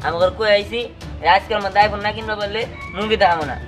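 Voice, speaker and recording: A man's voice: sits high, fairly even intonation, quick pace, fairly bright tone, faint music behind. A man voicing a stubborn, whiny boy from a poor family in a cartoon comedy, with a Bangladeshi accent, pleading and demanding. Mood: demanding, insistent. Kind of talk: cartoon comedy drama dialogue.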